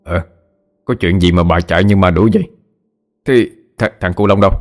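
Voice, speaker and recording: Man playing a deep-voiced, hot-tempered household master. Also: a little fast, darkish tone. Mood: alarmed, irritated. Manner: gruff, scolding, barking questions.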